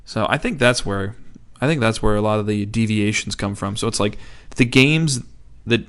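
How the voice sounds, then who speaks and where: A man's voice: fairly low pitch, moderate pace, fairly bright tone, clean tone. A man on a gaming podcast explaining his views in an easygoing, casual chat. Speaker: podcast co-host.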